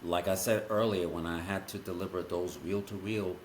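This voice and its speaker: A man with a very low voice hosts an interview in a measured way, drawing on his own radio days. His voice echoes around the room. A faint noise sits under the recording. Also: fairly even intonation. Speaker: podcast host. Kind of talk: interview, reminiscing.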